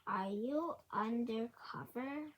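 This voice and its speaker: A woman voicing a wisecracking male duck in a children's storybook, telling jokes in a deliberate manner. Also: fairly high pitch, a little hiss or hum. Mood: joking.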